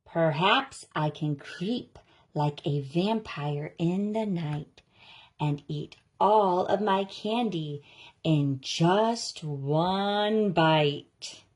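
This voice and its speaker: A woman reads a rhyming children's story aloud at a very slow pace. Her voice sits a little low for a woman, and she delivers each phrase deliberately and with expression. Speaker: story reader.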